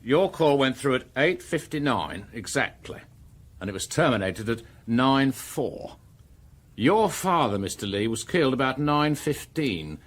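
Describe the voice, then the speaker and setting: An actor with an English accent playing a plain-spoken, methodical police superintendent in a radio drama. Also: male voice, medium pitch, animated, a little slow. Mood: firm, probing. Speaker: actor in a detective radio play. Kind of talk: British radio drama acting.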